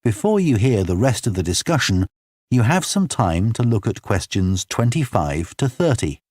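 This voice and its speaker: A man's voice: lowish voice, sing-song, moderate pace, darkish tone, husky, no echo, studio quality. A man reading scripted test instructions as an exam announcer.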